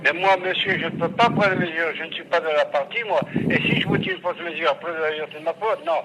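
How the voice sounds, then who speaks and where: A man speaking as a customer on a phone call, stubborn and indignant, often repeating his words haltingly. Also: on the high side. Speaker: customer being prank-called. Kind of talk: phone conversation. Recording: noisy telephone line.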